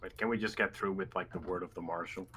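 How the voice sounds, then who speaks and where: A man casually chatting as a player in an online tabletop game, laid-back and halting. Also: deep voice, fairly even intonation, pushed and bright, slight background noise. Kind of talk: casual chat. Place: online voice call.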